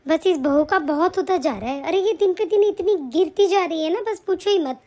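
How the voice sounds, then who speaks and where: A woman voicing a soft-hearted, fretful old grandmother in a family skit. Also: very high-pitched, moderate pace. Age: older. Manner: gentle, fretful. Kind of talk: comic family skit acting.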